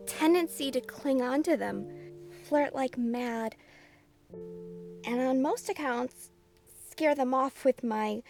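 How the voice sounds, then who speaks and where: Woman acting a high-voiced, slow-speaking vulnerable young woman. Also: a little mellow. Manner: hesitant, halting. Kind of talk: visual novel voice acting.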